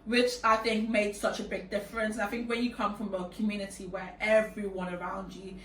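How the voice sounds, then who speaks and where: A woman's voice: medium pitch, little pitch movement, fairly quick, a bit bright, far from the mic, a little hiss or hum. A young woman from Nigeria with a Nigerian-British accent, chatting casually and confidently as host, laughing often. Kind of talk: casual chat. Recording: echoey room, off-mic.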